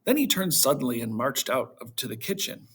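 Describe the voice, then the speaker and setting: A man reading a children's storybook aloud to schoolchildren in an animated narrating style. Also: medium pitch, fairly quick, gravelly, slightly rough.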